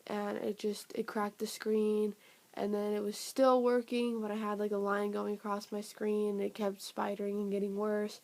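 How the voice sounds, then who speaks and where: A young woman reviewing a phone software update in a rambling, casual way, her voice raspy and sniffly from a cold. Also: mid-range voice, monotone, moderate pace. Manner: rambling, filler-heavy, trailing off. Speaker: tech vlogger.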